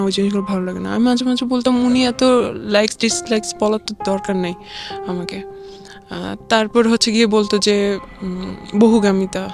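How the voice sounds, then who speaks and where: A young woman with a Bangladeshi accent tells a personal story in a casual, conversational way, often acting out quoted dialogue. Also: mid-range voice. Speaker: guest telling a personal life story.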